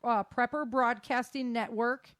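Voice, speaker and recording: A woman's voice: a little high, steady tone, measured pace, fairly bright tone, dry recording, studio quality. A woman hosting a podcast, running through news in a casual, conversational way and speaking informally to listeners. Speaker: podcast host. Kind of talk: casual news roundup on disasters and weather.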